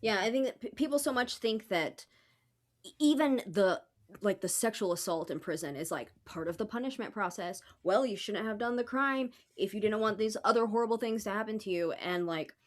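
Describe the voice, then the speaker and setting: Female podcast guest, mid-pitched, frank conversational delivery, slangy and informal. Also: animated, brisk. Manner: blunt, colloquial, frequent "like". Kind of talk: casual political podcast discussion.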